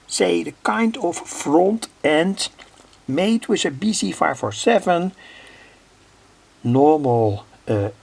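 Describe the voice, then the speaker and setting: Slow, gravelly Dutch-accented man. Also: fairly high pitch, a little mellow. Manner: halting, deliberate, pausing mid-sentence. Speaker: electronics hobbyist presenter. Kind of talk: unscripted demonstration of a homemade device.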